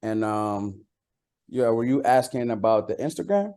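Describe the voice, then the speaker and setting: A man speaking as a rapper being interviewed, chatting casually in a slangy, halting way. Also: medium pitch, expressive, dark tone, clean tone. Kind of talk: casual chat.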